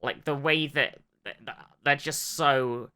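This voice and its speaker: A man's voice: on the high side, slow, bright, forceful tone, smooth. A man co-hosting a podcast in casual, gushing fan chat, swearing and repeating words as he searches for phrasing. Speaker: podcast host.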